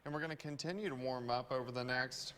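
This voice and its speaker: Male weather presenter, American, mid-pitched, conversational forecast delivery. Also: fast, bright, forceful tone. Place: TV news studio.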